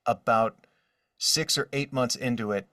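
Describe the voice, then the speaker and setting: A man's voice: mid-range voice, unhurried, sharp tone, studio quality. A man chatting casually as an interview guest, answering questions about his working life as a voice actor. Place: indoor room with drawings behind him. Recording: roomy, distant mic.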